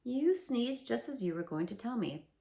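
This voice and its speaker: A woman putting on a gentle, humble young bear of little brain, slow and plaintive, his voice swooping up and down. He sounds a touch gravelly and high. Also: big pitch swings. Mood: earnest, puzzled. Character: simple gentle male bear, humble and dreamy.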